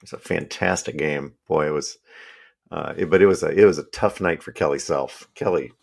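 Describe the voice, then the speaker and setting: A man co-hosting a casual podcast, his voice hoarse and raspy from yelling, joking and laughing as he talks. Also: very deep voice, moderate pace. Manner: joking, laughs often, many restarts. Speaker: podcast co-host. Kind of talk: casual chat.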